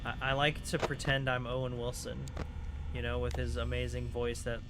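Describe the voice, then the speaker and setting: A man streaming a game and chatting casually with viewers in a soothing, calm voice, rambling with lots of "like" and "you know". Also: mid-range voice, normal speed, background noise. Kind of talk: casual chat with stream viewers while gaming.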